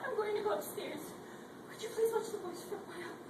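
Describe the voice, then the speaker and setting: A woman's voice: extremely high pitch, quick pace. A young woman playing a grieving wife and mother who fears for her husband, in a student readers theatre. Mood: distraught. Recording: echoey hall, distant mic, loud noise.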